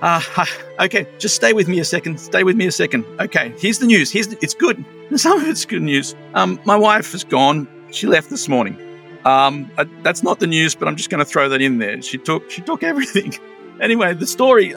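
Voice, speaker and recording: A man's voice: a little high, sing-song, normal speed, creaky, bright, forceful tone, faint music behind. A man playing a flustered, rambling town crier who keeps oversharing about his wife leaving. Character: flustered middle-aged male town crier, abandoned husband. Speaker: game master voicing a townsperson. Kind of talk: improvised tabletop roleplay.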